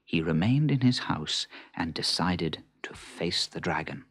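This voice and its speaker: A man's voice: low voice, expressive, unhurried, a bit bright. A man narrating a children's story in a dramatic, suspenseful way.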